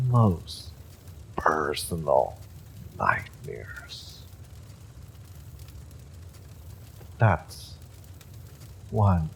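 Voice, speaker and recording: An actor playing an evil male sorcerer, smugly polite and sadistic, in a slow, theatrical audio drama full of menace. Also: deep voice, creaky, slight background noise, exaggerated voice, rough recording. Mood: cold threatening. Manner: slow, deliberate, theatrical threatening delivery. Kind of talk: dramatic audio roleplay monologue.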